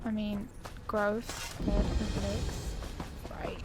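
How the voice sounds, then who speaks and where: A woman's voice: medium pitch, flat delivery, takes it slowly, over loud music. A woman hosting a gaming video with casual, chatty commentary, thinking aloud informally as she plays. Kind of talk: casual let's play commentary.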